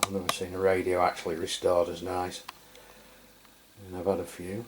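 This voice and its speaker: Deep-voiced man, casual reviewer. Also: completely flat delivery, normal speed, noisy background. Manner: hesitant, rambling, matter-of-fact. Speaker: radio review host. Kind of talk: casual hands-on product review.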